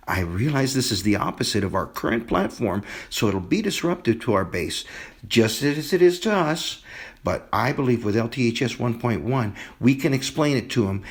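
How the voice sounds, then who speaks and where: A man playing an earnest, deferential presidential aide in an amateur audio drama, pitching in a measured, salesmanlike way. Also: lightly acted.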